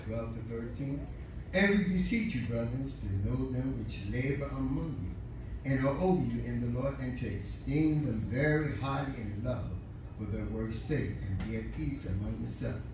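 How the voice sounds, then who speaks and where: A man with a mid-range voice reads church notices and scripture in a measured, declamatory style. He sounds far from the microphone in a very echoey hall. Clear background noise runs under his voice. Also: mid-range voice. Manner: measured, declamatory reading of announcements and verses. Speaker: radio announcer for the church broadcast. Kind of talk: formal radio announcement and scripture reading. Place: church sanctuary. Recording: echoey distant church recording with steady noise.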